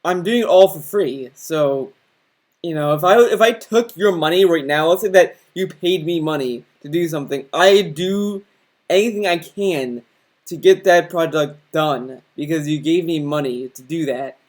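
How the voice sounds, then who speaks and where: A man's voice: on the high side, moderate pace. A young man giving a casual, rambling commentary rant, often restarting his sentences and mocking what he's reacting to. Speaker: commentary channel host.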